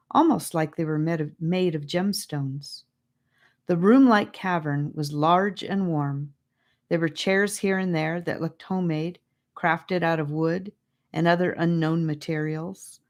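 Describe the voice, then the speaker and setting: A woman narrating a children's audiobook in an expressive, scripted storytelling style. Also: deep voice.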